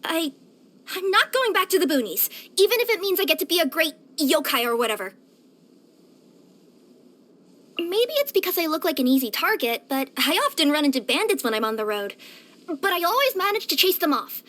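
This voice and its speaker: A woman voicing a cheerful, curious young female cat yokai in a video game, with a light girlish voice and an expressive, chatty delivery. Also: very high-pitched, fairly quick, heavily acted. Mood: determined. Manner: expressive, eager, chatty, wistful at times. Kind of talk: scripted game character voice-over.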